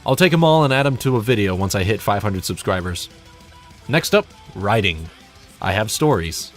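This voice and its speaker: A young man with a fairly low voice talks through a casual, scripted video update. He is wry and self-deprecating and swears freely. Faint guitar music plays behind him. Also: expressive, moderate pace. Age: young adult. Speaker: hobby narrator and audio play director giving a channel update.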